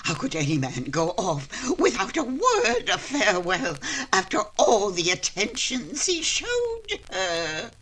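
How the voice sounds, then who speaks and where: A woman's voice: medium pitch, huge pitch swings, slow pace, a bit bright, breathy edge, exaggerated voice. A woman playing a melodramatic, fretful middle-aged mother in a 1940s-style radio play, theatrically wailing and fussing. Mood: indignant wailing.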